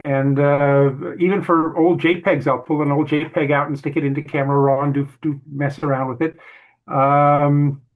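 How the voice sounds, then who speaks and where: A man's voice: on the high side, little pitch movement, moderate pace. Interview guest, male, Hungarian as first language, rambling and easygoing, on muffled call audio. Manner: rambling, self-correcting, easygoing. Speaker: guest photographer. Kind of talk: casual interview chat. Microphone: video call.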